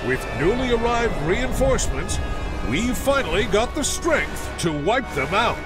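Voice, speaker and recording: A man's voice: high voice, big pitch swings, a little slow, loud music behind the voice. A man playing an upbeat, bombastic military propaganda announcer in a game's wartime newsreel. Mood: rousing. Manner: bombastic, patriotic. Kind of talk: rousing wartime newsreel narration.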